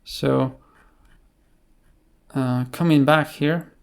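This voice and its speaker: A man giving an unscripted tutorial explanation in a halting way, with many filled pauses. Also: medium pitch, steady tone, gravelly, clear, resonant tone. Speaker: programming tutorial presenter.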